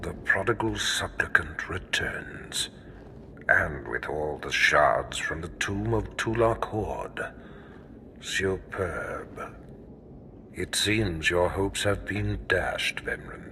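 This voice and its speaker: An actor playing a haughty, scheming older Sith lord in a video game, speaking in an imperious, ominous and formal manner. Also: male voice, deep voice, lively intonation, slow pace, sharp tone, a little hiss or hum, full character voice. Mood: smug, scheming. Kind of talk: scripted video game drama acting.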